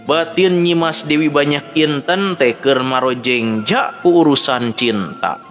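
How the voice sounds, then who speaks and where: A man's voice: a little high, a little slow, fairly bright tone. A man with a Sundanese accent narrating a dramatic story as a storyteller. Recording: background music under the voice. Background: music.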